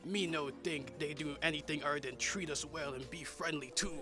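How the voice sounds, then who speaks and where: A man's voice: on the high side, moderate pace, over music, lightly acted. A man playing a dim, irritable super-powered villain clone in a comedic radio play, talking in broken backwards grammar. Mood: slow, earnest.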